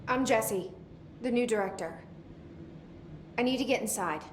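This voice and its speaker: A woman's voice: on the high side, measured pace, a little hiss or hum, lightly acted. An actress playing a young woman agency director in a video game, composed, terse and direct. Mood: insistent.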